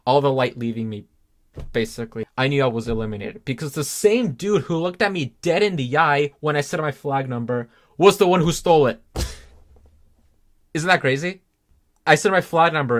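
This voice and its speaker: A man's voice: on the high side, a bit bright. A young man casually telling his story in a vlog, chatty and full of "like" and "um". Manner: chatty, filler-heavy, lots of "like". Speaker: YouTuber recounting a game show.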